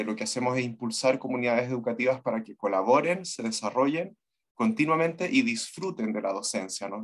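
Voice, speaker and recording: A man with a Chilean Spanish accent presents an online lecture as an educator. His voice sits at a middle pitch, and he builds his sentences in a halting, searching way. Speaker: teacher trainer giving an online talk. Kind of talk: webinar presentation to students. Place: remote online video call from Santiago.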